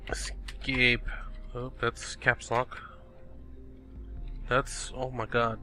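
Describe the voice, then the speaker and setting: A man's voice: mid-range voice, monotone, extremely slow, sharp tone. A young man giving casual, chatty gameplay commentary, thinking aloud and exclaiming at the game, a bit sniffly from a cold. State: sniffly, recovering from illness. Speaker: gaming video host. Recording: faint background music. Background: background music.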